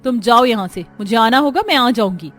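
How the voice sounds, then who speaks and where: A woman's voice: on the high side, expressive, fairly quick, lightly acted. A woman voicing a defiant young working daughter-in-law in a cartoon family story, curt and insistent. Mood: firm refusal. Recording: music behind the voice.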